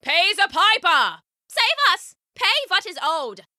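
A woman's voice: high-pitched, lively intonation, takes it slowly, sharp tone, no room sound, studio quality, full character voice. A woman voicing panicked storybook villagers in urgent exclamations. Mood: panicked, demanding. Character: panicked crowd of villagers calling out.

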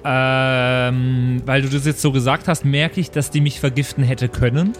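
A man's voice: mid-range voice, fairly even intonation, a little slow, faint music behind. A man playing a tabletop role-playing game, casually saying what his character does. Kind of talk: casual live tabletop play, speaking in character.

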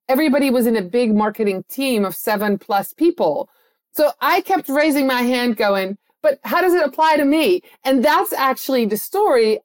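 A middle-aged woman originally from the Netherlands, speaking as an interview guest. She talks with animated enthusiasm and lively storytelling. Her voice sits at an ordinary middle pitch. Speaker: agency owner, guest. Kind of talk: interview conversation.

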